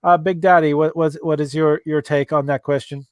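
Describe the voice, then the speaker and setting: An Irish man hosts a live video-call chat show and reads viewer questions aloud. His voice sits a little high and sounds dry and close, and he stumbles through fillers and restarts as he talks. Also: little pitch movement, normal speed, no echo. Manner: hesitant, many fillers and restarts. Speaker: livestream host.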